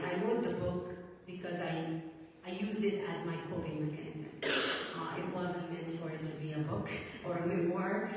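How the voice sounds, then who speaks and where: A woman from Sudan gives an earnest, halting speech to a live audience as an author and speaker, pausing often with fillers. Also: fairly low pitch, a little hiss or hum. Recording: echoey hall from far off.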